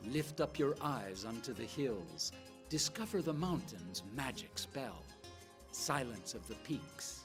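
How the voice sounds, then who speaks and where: Male VO, slow lyrical travel-promo read, music bed underneath. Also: medium pitch. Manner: slow, poetic, measured delivery. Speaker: promotional video narrator. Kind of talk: scripted tourism narration. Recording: narration over loud music.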